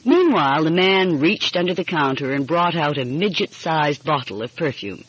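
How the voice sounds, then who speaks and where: Woman narrator with a lively, husky voice. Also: low-pitched, moderate pace, dry recording. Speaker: audiobook narrator. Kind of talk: scripted narration of a light mystery story. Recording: muffled, dull lo-fi audio.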